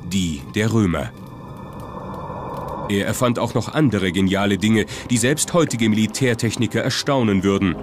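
A man's voice: lowish voice, normal speed. A man reading scripted documentary narration as the narrator. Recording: music under the voice. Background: prominent music.

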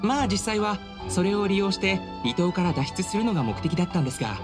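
A young samurai officer, dutiful and humble, speaks in formal, deferential phrases. The anime game delivery is lively in pitch, over background music. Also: male voice, fast talker. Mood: composed. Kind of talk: Japanese anime game voice acting.

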